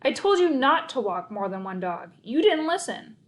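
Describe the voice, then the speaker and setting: Casting: woman reader plays storybook boy, confident math-whiz schemer, high voice, matter-of-fact and emphatic. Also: big pitch swings, normal speed. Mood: insistent.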